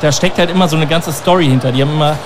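A man, a DJ and music producer, answering interview questions in a relaxed, explaining way. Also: on the high side, racing, noisy background. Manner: relaxed, explaining, many fillers. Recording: clear music behind.

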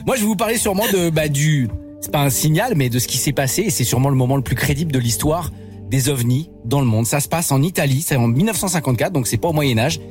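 A man co-hosting a radio show, telling a story with playful, animated energy. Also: a little high, quick pace, soft background music. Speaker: radio co-host.

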